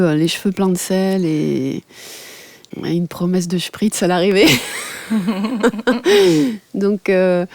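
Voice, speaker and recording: A woman in her forties, a radio journalist guest, answering thoughtfully with many pauses and fillers. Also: medium pitch, no echo. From France. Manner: thoughtful, hesitant with many fillers, warm laughs. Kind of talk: casual interview answers.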